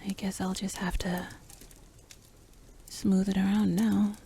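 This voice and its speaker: Slow, whispery woman playing a teasing fantasy rogue. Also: medium pitch, little pitch movement, gravelly, dark tone, a little hiss or hum. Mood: teasing, soft. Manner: teasing, unhurried, half-whispered. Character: playful, teasing, cool-headed female rogue in fantasy adventure. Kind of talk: breathy roleplay ASMR, slow and intimate.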